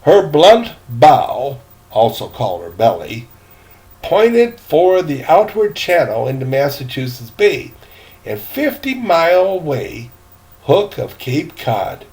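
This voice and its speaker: A man's voice: fairly high pitch, big pitch swings, takes it slowly, a little mellow. An older man, a grandfather, narrating a storybook informally, with casual asides and self-corrections. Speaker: grandfather reading a book aloud.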